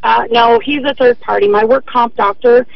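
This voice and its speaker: A woman with a hoarse, partly lost voice, calling in to a radio show and explaining her problem carefully. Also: a little high, fairly even intonation, normal speed, dry recording, highs cut off. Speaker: caller. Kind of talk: phone-in caller.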